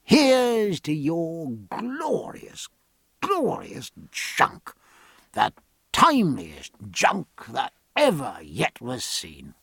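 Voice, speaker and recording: A man's voice: on the high side, big pitch swings, a little slow, vocal fry, no room sound, clean studio sound, full character voice. A man voicing a jovial, teasing British naval captain with bluff, exclamatory, old-fashioned speech. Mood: merry.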